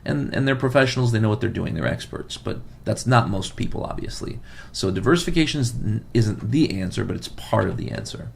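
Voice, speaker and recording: A lowish male voice with a gravelly edge, worn from losing his voice. He hosts a money podcast and explains patiently. He comes back to the main points to drive them home. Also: animated, a little fast, slight background noise. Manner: earnest, repeats key points for emphasis. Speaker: financial advisor and podcast host. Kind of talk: podcast monologue giving financial advice.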